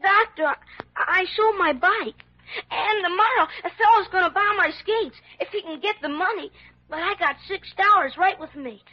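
A woman playing an earnest, pleading young American boy in a vintage radio drama, plaintive and halting. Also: very high-pitched. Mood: desperate pleading. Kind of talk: 1940s-50s radio drama acting. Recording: dull vintage radio recording.

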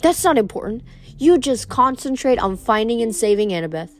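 A woman's voice: a little high, sing-song. A woman voicing a vain, gushing goddess of love who drawls and coos dramatically. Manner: breathy, drawn-out, dramatic gushing.

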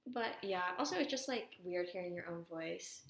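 A young woman with a raspy voice co-hosting a podcast, talking frankly and relaxed in long run-on thoughts. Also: on the low side, normal speed. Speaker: podcast co-host. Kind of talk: casual chat. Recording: echoey room.

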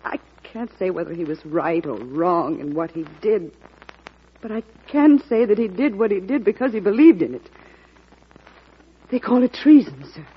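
A woman's voice: medium pitch, slightly rough, lo-fi sound. An actress playing a dignified wife pleading for her condemned husband's life in an old radio drama. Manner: earnest, pleading, restrained. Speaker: petitioner's wife. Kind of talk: 1950s radio drama acting.

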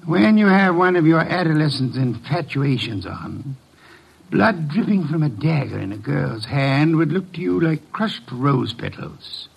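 An imperious American detective from classic radio mystery. The voice is gravelly and rough, pitched a bit high for a man. He speaks with pompous deliberation and dry sarcasm, probing and shrewd. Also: lively intonation, measured pace, exaggerated voice, poor audio. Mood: scornful, dismissive. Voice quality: gravelly, husky. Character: shrewd, imperious male detective, brilliant and dryly sardonic. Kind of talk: old-time radio detective drama acting.

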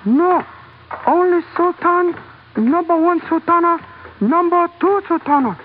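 A man's voice: very high voice, measured pace. A man playing a menacing knife-carrying palace guard in old radio drama, speaking in clipped, broken pidgin English. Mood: curt menace. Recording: tinny, noisy old radio recording.

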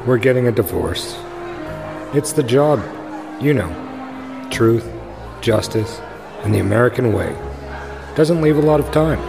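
An actor playing an earnest, noble male superhero in an audio drama, speaking in a measured, steady way. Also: mid-range voice, vocal fry, over music. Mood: subdued, serious.

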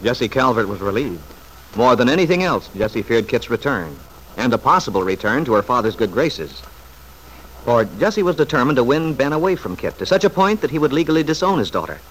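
A man's voice: mid-range voice, very animated, brisk. A man narrating an old-time radio soap opera from a script as the announcer. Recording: noisy vintage radio recording.

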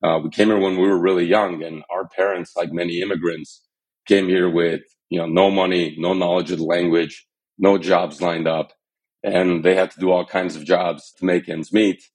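A man in his thirties, a company founder telling his founding story in a podcast interview, earnest and measured. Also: sits low, little pitch movement, darkish tone, rough recording. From Armenian, born in Iran, raised in the US. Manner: earnest and measured, some fillers. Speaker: startup founder and CEO, podcast guest.

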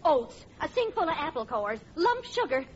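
A dull, slightly hissy vintage radio recording carries an exasperated sitcom wife and mother. Her high voice is expressive, scolding and full of disbelief. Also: moderate pace. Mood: exasperated, incredulous. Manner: scolding, incredulous. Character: exasperated, sensible, long-suffering housewife and mother. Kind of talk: 1940s radio sitcom acting. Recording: dull, faintly noisy old radio recording.

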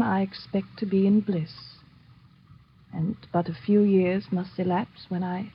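An actress playing a serene, genteel condemned woman of colonial times in a resigned, breathy 1950s radio drama style. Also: on the low side, heavily acted. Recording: muffled old radio recording.

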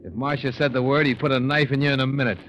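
An actor playing a wry, tough, clipped-talking investigator in an old-time radio detective drama. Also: male voice, medium pitch, fairly even intonation, fast, fairly bright tone, natural voice. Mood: needling, tough. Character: wry, hard-boiled insurance investigator, male, tough and needling. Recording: dull, noisy old radio recording.

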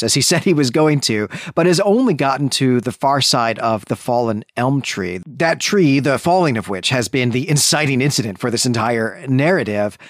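A man's voice: medium pitch, no echo, clean studio sound. A man co-hosting a podcast, giving a chatty, detailed literary recap in an explanatory way. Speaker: podcast co-host.

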